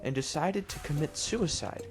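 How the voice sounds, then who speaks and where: Deadpan man with lightly high voice, music behind. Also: normal speed. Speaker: narrator of a gameplay video. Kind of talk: improvised mock-storybook gameplay narration. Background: clear background music.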